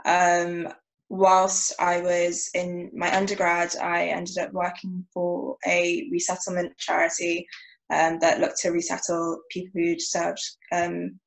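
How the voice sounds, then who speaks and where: A young woman with a slightly low, quite flat voice. She talks informally as a panel guest, rambling a little as she goes. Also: measured pace, vocal fry, rough recording. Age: 20s. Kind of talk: casual self-introduction.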